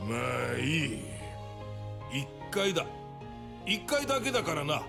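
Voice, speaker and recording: An actor playing a gruff, stubborn, impatient old grandfather in a Japanese anime game, grumbling in old-fashioned elderly speech. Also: a little high, big pitch swings, a little fast, over music.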